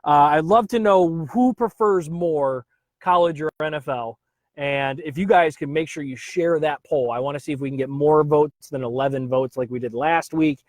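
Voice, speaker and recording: A man's voice: a little high, normal speed, darkish tone, no echo. A man hosting a casual live stream, upbeat and chatty, greeting viewers by name and dropping filler uhs.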